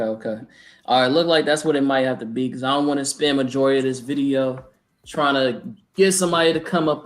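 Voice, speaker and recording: Laid-back slangy man hosting a call. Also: medium pitch. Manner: laid-back slangy, lots of "you feel me". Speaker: podcast host. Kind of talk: casual video-call chat. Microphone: video call.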